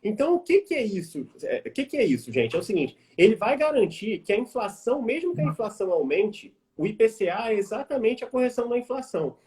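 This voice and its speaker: A young Brazilian man in his twenties, an investment advisor explaining things casually on a live stream, informal and quick with many fillers. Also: high voice, big pitch swings, darkish tone. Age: 20s. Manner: quick, informal, addresses audience as "gente", many fillers like "vamos dizer". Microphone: video call.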